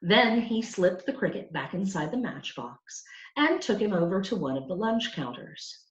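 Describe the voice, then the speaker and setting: Expressive woman reading a story, roomy sound. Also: medium pitch, normal speed. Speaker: storyteller reading a children's novel aloud. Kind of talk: expressive scripted audiobook narration for children. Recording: roomy, dull lo-fi home recording.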